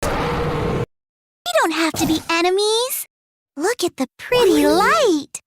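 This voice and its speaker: A woman voicing a sweet, gentle little nature girl of the forest in a video game, childlike and earnest. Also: very high-pitched, lively intonation, slow pace, noisy background, heavily acted. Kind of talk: video game hero voicelines.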